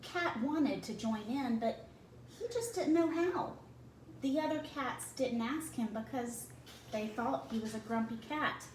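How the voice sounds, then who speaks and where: Woman reading slowly to children, roomy sound. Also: fairly high pitch. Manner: slow, deliberate phrasing for children. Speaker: teacher reading a picture book to children. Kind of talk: read-aloud storytelling with asides to young listeners. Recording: roomy, distant mic with clear background noise.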